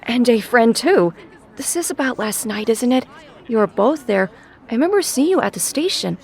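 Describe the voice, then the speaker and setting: A woman's voice: on the high side, animated, moderate pace. A woman playing a gushing, insistent, protective mother of a teenager in an audio drama. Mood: gushing, hospitable.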